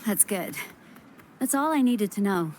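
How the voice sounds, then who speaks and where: Young female game healer acted by a woman: guarded, caring, earnest delivery, medium pitch. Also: expressive, a little slow, background noise, natural voice. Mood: earnest, explaining. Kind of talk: anime game voice acting. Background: game music.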